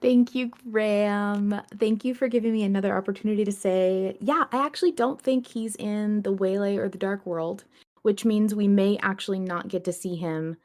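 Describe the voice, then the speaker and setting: A woman's voice: mid-range voice, normal speed, a little mellow. A woman hosting a podcast in a casual, conversational fan discussion. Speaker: podcast host.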